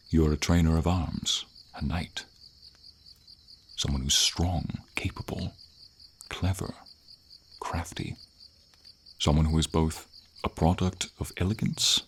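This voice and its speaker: Guy doing a wise friendly dragon, super low rumbly creaky voice, measured and formal, asmr roleplay, faint rain and crickets in the background. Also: heavily acted. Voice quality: very deep, gravelly. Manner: slow, measured, formal phrasing with thoughtful pauses. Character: gentle, wise old male dragon, speaking to a knight. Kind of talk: ASMR fantasy roleplay, scripted character acting. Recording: steady hiss of rain and insects behind. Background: light rain and night insects.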